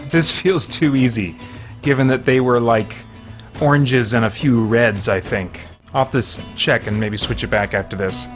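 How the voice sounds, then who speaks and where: A man with a mid-range voice talks through a video game as he plays it. His delivery is casual and halting, as if thinking out loud. Some music sits behind his voice. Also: mid-range voice. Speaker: video game reviewer playing on camera. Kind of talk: casual gameplay commentary.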